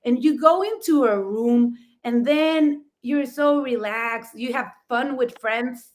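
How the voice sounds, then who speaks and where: A woman's voice: high-pitched, slow. A middle-aged woman from Mexico with a Mexican accent, a native Spanish speaker, telling her story as a co-host, enthusiastic and laughing often, often rephrasing with "I mean". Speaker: florist and talk show co-host.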